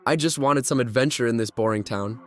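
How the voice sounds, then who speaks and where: A man's voice: medium pitch, brisk, fairly bright tone, soft background music, natural voice. A man playing an adventurous, thrill-seeking young man who tells his story in a horror audio drama. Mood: reflective, ominous.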